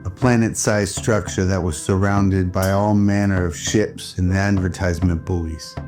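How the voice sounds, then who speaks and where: Low-voiced male drama narrator over music. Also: fairly even intonation, a little slow. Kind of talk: scripted dramatic narration in old radio drama style. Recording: loud music under the voice. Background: background music.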